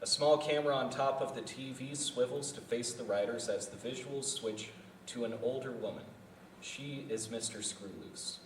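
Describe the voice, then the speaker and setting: A man's voice: mid-range voice, moderate pace. Man narrating script, echoey and distant. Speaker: screenwriter reading stage directions. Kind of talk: scripted narration, live table read. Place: festival venue room. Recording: echoey room, distant mic, faint noise.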